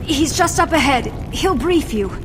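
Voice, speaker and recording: An actress playing a cool, brisk telepathic mutant woman in a video game, clipped and strained. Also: high voice, expressive, heavy background noise. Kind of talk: video game cutscene acting. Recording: game dialogue over music.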